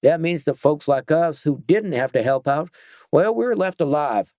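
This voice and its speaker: Guy reading a story doing a biker boss, fake-gentle and folksy, dry close sound. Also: a little high, lively intonation, moderate pace, no room sound, voice pushed up, natural voice. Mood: mock-polite. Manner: falsely gentle, folksy ungrammatical speech. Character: menacing mock-polite biker gang leader, man.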